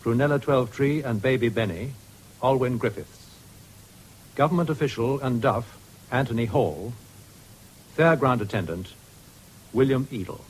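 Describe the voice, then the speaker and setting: Male announcer, RP, medium pitch, measured formal scripted credits, slightly noisy vintage radio sound. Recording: faintly noisy 1970s radio broadcast.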